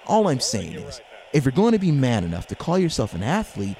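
An actor playing a gruff, pushy, opinionated man who scorns and needles, in an audio drama. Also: medium pitch, huge pitch swings, brisk, darkish tone. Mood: scornful ranting. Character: gruff, pushy older-brother type, scornful football fan.

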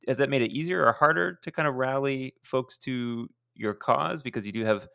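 A man's voice: medium pitch, normal speed, creaky, pushed and bright, clear, resonant tone, no echo, studio quality. A man hosting a podcast, asking long, conversational, rambling questions. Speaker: podcast host. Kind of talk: interview questions.

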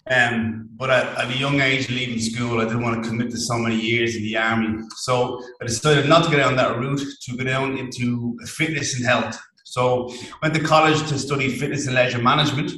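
A man's voice: medium pitch, steady tone, a little fast, few pauses, sharp tone. Irish guy, coach type, explaining things plain and earnest, echoey room sound. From Ireland. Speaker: guest, nutrition and fitness coach. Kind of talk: casual interview talk. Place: his clinic.

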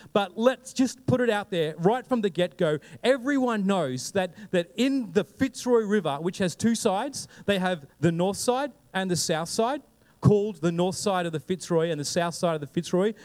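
A young man with an Australian accent, preaching a sermon and telling a lively story, often asking the congregation questions. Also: high-pitched, big-room sound. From Queensland, Australia. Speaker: pastor.

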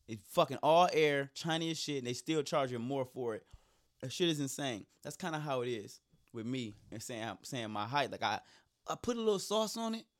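A man in casual comedic chat whose voice is going hoarse, riffing loosely and cracking profane jokes. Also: fairly high pitch. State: losing his voice.

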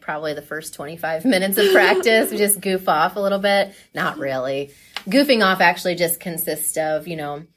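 A woman talking casually and enthusiastically as a coach being interviewed, chatty and quick to laugh. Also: lowish voice.

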